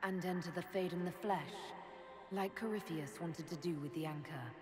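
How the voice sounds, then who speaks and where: Actress as low-voiced, decisive woman commander. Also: normal speed, close to an everyday voice. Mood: curious. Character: composed, decisive female fantasy military leader. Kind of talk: video game dialogue. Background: faint music.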